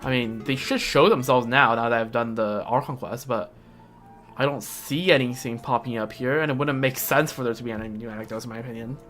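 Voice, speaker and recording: A man's voice: mid-range voice, fairly quick, a bit bright. Young man rambling over game music. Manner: rambling, thinking aloud. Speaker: game streamer. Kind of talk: casual live game stream commentary.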